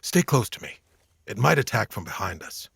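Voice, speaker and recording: Casting: seasoned fantasy hero, tired but kind, wry delivery, lively intonation, some rasping creak. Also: male voice, fairly low pitch, moderate pace, dry recording, exaggerated voice. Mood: cautious. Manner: wry, candid, gently reassuring. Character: weary, kind, self-deprecating male monster-slaying hero. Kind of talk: audio drama acting.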